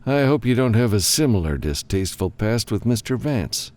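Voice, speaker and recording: A man's voice: fairly low pitch, big pitch swings, moderate pace, darkish tone, voice pushed up. Man voicing a grim government lawman, swooping emphatic delivery. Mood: businesslike, firm. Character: tense, grim government lawman, commanding and frank.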